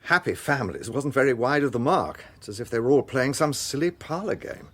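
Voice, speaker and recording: An actor playing a shrewd, courteous gentleman police inspector in a British radio drama, with an English accent and a measured, understated delivery. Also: mid-range voice, animated. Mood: thoughtful.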